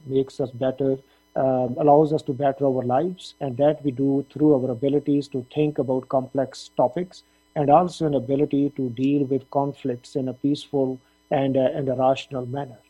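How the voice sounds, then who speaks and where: A middle-aged man from Punjab, India, a dean giving measured interview answers with frequent fillers. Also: medium pitch, mellow, husky, low-quality recording. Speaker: dean of a veterinary faculty.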